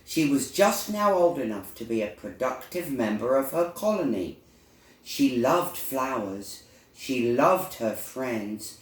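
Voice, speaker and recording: A man narrating a children's storybook in a deliberate, expressive storytelling manner, recorded in an echoey room. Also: medium pitch. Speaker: storyteller reading a children's book.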